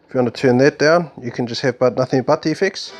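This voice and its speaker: A man doing an informal, unscripted product demonstration as a reviewer, explaining things in a halting, loosely worded way. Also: mid-range voice. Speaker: gear reviewer demonstrating a mixer amp.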